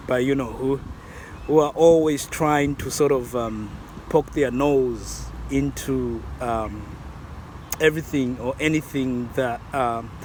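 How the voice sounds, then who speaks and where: A man's voice: medium pitch, darkish tone, a little hiss or hum. A man, talking casually and reflectively in a vlog, rambling with many restarts. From Zambia. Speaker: vlogger.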